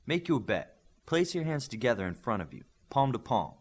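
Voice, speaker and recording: A man's voice: mid-range voice, sing-song, a little fast. Man acting a cool, confident young superheroine. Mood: cool challenge. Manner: cool, matter-of-fact, daring. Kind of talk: audiobook character dialogue.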